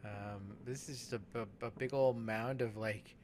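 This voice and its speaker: A man chatting casually as he commentates on a game, halting and often trailing off mid-thought. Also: fairly low pitch, steady tone, darkish tone, slight background noise. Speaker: let's play podcast host.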